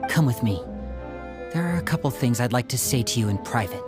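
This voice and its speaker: A man voicing a sharp, protective teenage older brother in a video game, controlled and questioning, over music. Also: medium pitch, expressive, a little fast, natural voice. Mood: grave. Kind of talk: English video game voice acting. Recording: faint music behind the voice.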